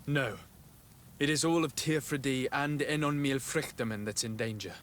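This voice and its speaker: A man playing an earnest, courteous noble diplomat in a video game cutscene, speaking formally and in measured phrases. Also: medium pitch, a bit bright, a little hiss or hum, lightly acted. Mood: formal, concerned. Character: earnest, courteous young noble diplomat, burdened by family betrayal.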